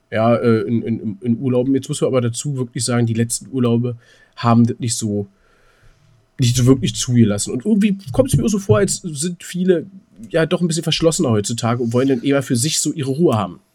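A man chatting casually as a podcast co-host in a halting, hesitant, colloquial way. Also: medium pitch, mellow. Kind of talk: casual chat.

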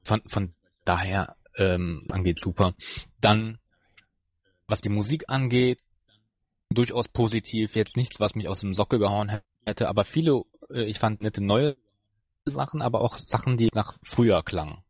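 A man's voice: lowish voice, moderate pace, no echo. A man casually discussing and reviewing as a podcast co-host, hesitant and searching for words.

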